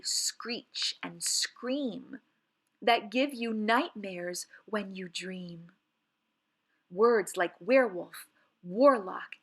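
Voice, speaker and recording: A woman reading children's poetry aloud in an expressive, theatrical and suspenseful way, with deliberate phrasing. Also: mid-range voice, breathy edge. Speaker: children's read-aloud presenter.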